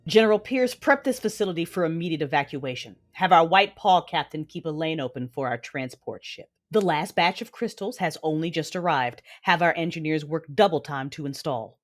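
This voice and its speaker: An actress playing a cruel, cold cyborg warrior mother in an audio drama, measured, menacing and commanding. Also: sits low, lightly acted. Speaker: narrator of own story in audio drama. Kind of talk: dramatic first-person narration and acting. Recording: music bed behind voice.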